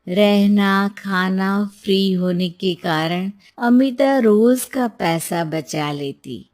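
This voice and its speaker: A woman's voice: medium pitch, a little mellow. A woman narrating a scripted moral story as a storyteller.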